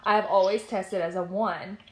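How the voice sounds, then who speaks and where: A woman from Tennessee, hosting a casual chat, animated and emphatic. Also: mid-range voice, moderate pace, echoey room. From Nashville, Tennessee, US. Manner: animated, emphatic, warm affirmations.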